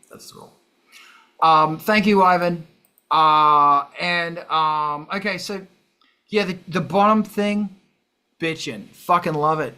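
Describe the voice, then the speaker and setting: A man's voice: a little high, sharp tone. A man hosting a casual chat in a brash, sweary, self-mocking grumpy way. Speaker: host.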